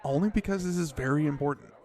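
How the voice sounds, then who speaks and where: A man's voice: on the high side, fast talker, darkish tone, close to an everyday voice. A man playing a worried, cautious cafe co-worker in an audio drama, speaking in an earnest, pressing way. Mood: worried, insistent.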